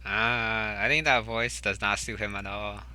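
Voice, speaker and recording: A man's voice: on the low side, steady tone, unhurried, bright, forceful tone, a little hiss or hum. A man giving casual commentary as he plays a video game. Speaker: video game player commenting on his playthrough. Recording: game music clearly behind the voice.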